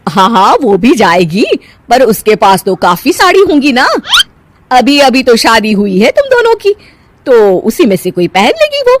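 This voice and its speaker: A woman's voice: on the high side, big pitch swings, normal speed, background noise. A woman voicing a haughty, domineering middle-aged mother-in-law in a cartoon family drama. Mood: brisk, favouring. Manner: scolding, domineering.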